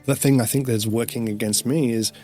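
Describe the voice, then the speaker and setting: A man's voice: medium pitch, steady tone, brisk, dark tone, soft background music. A man with an Australian accent, giving an earnest, emphatic interview with frequent restarts. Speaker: interview guest. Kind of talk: earnest personal testimony.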